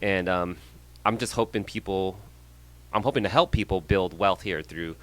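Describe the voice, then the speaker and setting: Man, thirties, from California, guest on a real estate podcast, low but bright and forceful delivery. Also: rough recording. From San Jose, California. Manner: friendly, measured, with frequent ums and small laughs. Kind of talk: casual podcast interview.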